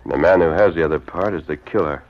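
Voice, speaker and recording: An actor playing a noble masked American hero in a radio western, speaking in a measured, formal, resolute way. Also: male voice, a bass voice. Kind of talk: old-time radio western acting. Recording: dull old radio recording.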